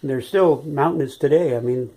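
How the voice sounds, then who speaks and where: A man in his eighties from San Francisco, a retired biology teacher recalling his life in an unhurried, rambling interview, with many "uh"s and "you know"s. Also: medium pitch, dark tone, low-quality recording. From San Francisco, California. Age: 80s. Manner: rambling, with frequent "uh" and "you know", chuckles as he remembers. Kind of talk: unhurried oral history reminiscence.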